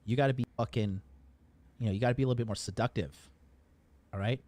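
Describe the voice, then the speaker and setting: A man's voice: mid-range voice, fast, warm tone. A man giving casual commentary in a blunt, profane, lecturing way.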